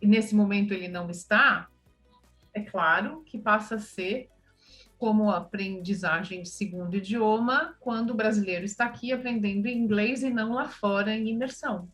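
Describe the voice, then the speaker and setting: Measured Brazilian woman explaining. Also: mid-range voice, fairly bright tone. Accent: Brazilian Portuguese. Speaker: language school speaker. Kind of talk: panel talk.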